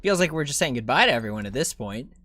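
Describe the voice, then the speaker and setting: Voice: mid-range voice, sing-song, fast, a bit bright. A streamer giving casual gameplay commentary, thinking aloud and often questioning themselves. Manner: self-questioning, musing aloud. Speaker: game streamer.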